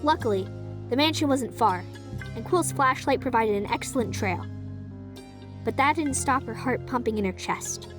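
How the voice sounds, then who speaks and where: A woman narrating an audio drama in an even, storytelling manner. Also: a little high, moderate pace, over music.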